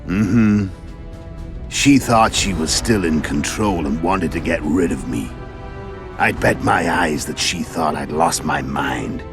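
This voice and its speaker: An actor playing a world-weary, cunning male assassin in a game cutscene, gravelly and measured, recounting things with dry irony. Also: expressive, heavily acted. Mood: frank, weighty. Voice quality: very deep, gravelly. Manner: measured, matter-of-fact storytelling with dry irony. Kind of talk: video game cutscene acting. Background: background music.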